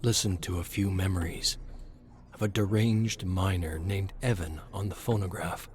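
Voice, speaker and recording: A man reading scripted story narration in a measured, deliberate way. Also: deep voice, steady tone.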